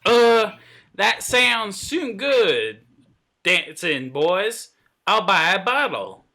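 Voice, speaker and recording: A man's voice: high-pitched, big pitch swings, very slow, creaky, bright, forceful tone, voice pushed up, heavily acted. A man reading the part of a fat, easygoing cowboy who loves his food in a comic script. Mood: hesitant, friendly.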